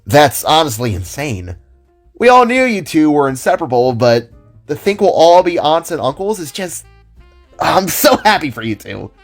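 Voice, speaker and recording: Man voicing a blunt, slangy young friend, lively pitch. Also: moderate pace, soft background music. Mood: delighted. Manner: direct, casual. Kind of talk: audio drama character voice.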